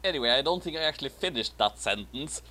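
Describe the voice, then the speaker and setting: A man's voice: medium pitch, expressive, fast talker, sharp tone. A man reading fan fiction aloud and commenting on it in a casual, comedic way.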